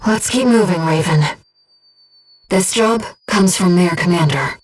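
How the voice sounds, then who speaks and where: A woman playing a calm, devoted disembodied companion who guides a pilot in a video game, speaking in a measured, earnest, gently formal way. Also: on the low side, recorded from a distance, faint music behind. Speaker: mission support companion. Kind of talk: scripted video game voice acting.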